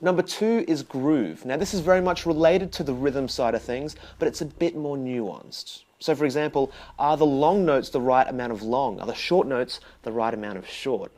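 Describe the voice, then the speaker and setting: A young man teaching bass, talking straight to the viewer in a chatty, explaining way and asking questions as he goes. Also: a little mellow. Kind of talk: direct-to-camera instructional talk.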